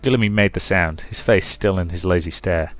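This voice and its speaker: A man's voice: low voice, normal speed, no room sound. A man reading a visual novel's story narration aloud as a let's play narrator. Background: music.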